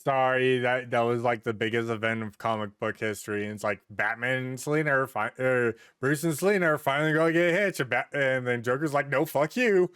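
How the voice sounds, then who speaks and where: A man's voice: mid-range voice, brisk, hardly any pauses, fairly bright tone, clear, resonant tone, dry recording, studio quality. A man hosting a podcast in casual pop-culture chat, speaking in an informal, sweary, emphatic way. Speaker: podcast host.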